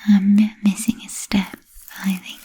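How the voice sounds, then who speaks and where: A woman playing an ancient, refined, playfully macabre vampire in a whispered ASMR roleplay, speaking softly and formally with trailing pauses. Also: medium pitch, completely flat delivery, slight background noise, natural voice. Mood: teasing, musing. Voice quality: soft breathy whisper. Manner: slow, deliberate, archly formal with trailing pauses.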